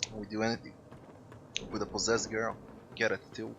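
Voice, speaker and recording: A man, an English speaker, giving low-key, offhand commentary while streaming a game. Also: little pitch movement, normal speed, creaky, background noise. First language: Serbian. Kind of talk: casual live-stream commentary.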